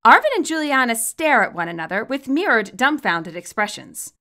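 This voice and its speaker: A woman reading her own fan fiction aloud as expressive, scripted story narration. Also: a little high, normal speed.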